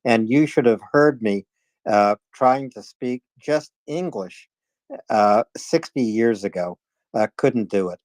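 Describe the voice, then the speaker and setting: A man's voice: medium pitch, expressive, slow pace, a little mellow, no room sound. A man in his sixties from coastal Maine, hosting a live audio call in a folksy, hesitant way with many fillers. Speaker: host. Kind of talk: casual chat on a live audio space.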